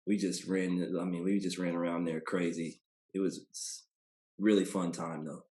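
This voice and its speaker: An American man in his thirties with a low voice talks casually as a podcast guest. His manner is laid-back and colloquial. The sound is roomy and echoey. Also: little pitch movement, moderate pace, darkish tone. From Indianapolis, United States. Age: 30s. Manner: colloquial, laid-back, often tags sentences with "you know". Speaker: podcast guest, basketball player. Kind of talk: casual interview chat.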